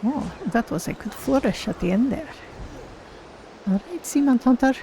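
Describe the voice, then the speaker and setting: A man voicing a proud, courteous cat-person game character with formal, measured wording while streaming. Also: very high-pitched, expressive, dark tone, slight background noise, low-quality recording. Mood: confident, curious. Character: proud, courteous Khajiit cat-person adventurer, third-person speech. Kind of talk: in-character game role-play commentary.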